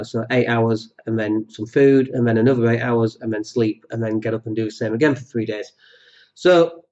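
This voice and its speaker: A man hosting a solo podcast, talking with a cold that makes his voice deeper, rambling and jokey with many fillers. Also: a little mellow, highs cut off. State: has a cold, voice deeper than usual. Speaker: podcast host.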